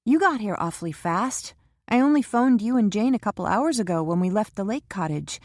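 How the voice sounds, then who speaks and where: A woman voicing an anxious, devoted young woman who talks eagerly and protests quickly in an audiobook. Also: medium pitch, animated, no room sound, clean studio sound, pitched above the performer's own voice.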